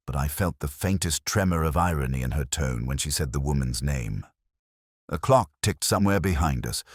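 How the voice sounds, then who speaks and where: A man playing a melancholy, observant police inspector, narrating a detective audio drama in a measured, literary manner. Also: a bass voice, no echo, studio quality.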